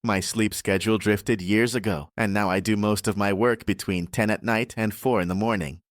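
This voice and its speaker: A man narrating a scripted first-person horror audiobook in a measured, deliberate way. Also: lowish voice, fairly even intonation, no room sound, clean studio sound.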